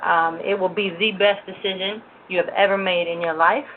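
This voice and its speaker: A woman's voice: mid-range voice, fairly even intonation, moderate pace. A woman hosting a religious talk show for women, greeting listeners and giving prayerful thanks with loose fillers. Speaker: host. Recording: noisy, tinny phone-line audio.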